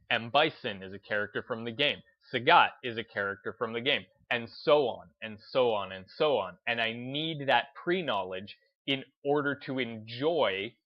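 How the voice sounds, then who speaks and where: Man giving emphatic commentary, dull lo-fi sound. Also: mid-range voice. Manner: emphatic, deliberate, repeats phrases for emphasis. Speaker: gaming video essayist and host. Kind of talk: opinionated commentary, unscripted talk to listeners.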